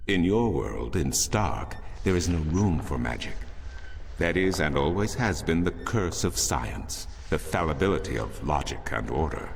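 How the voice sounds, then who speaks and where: An actor playing a solemn older priest in a fantasy game, telling ancient lore in a measured, formal, portentous way. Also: male voice, extremely low pitch, sing-song, gravelly, slightly rough, heavily acted. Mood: solemn, knowing. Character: solemn older male temple priest, a storytelling loremaster. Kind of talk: grand scripted fantasy narration. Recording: music behind the voice.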